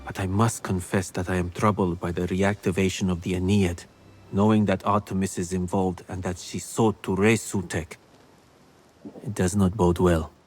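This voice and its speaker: A man voicing a wise ancient physician spirit, speaking in a solemn, grave and measured way in game dialogue. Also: low-pitched, level tone, a little mellow, faint music behind.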